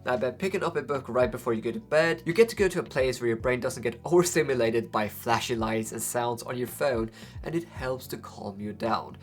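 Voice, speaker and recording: A man's voice: mid-range voice, a little fast. Young Faroese-accented man talking books. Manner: conversational, leans on rhetorical questions. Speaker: book channel host. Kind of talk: talking to camera about books.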